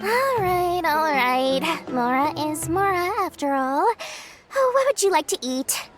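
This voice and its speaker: A woman's voice: high voice, big pitch swings, a little slow, over music. A woman voicing a small, greedy, gleeful girlish merchant in a video game, full of exclamatory sales patter. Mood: boastful. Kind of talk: animated video game voice acting.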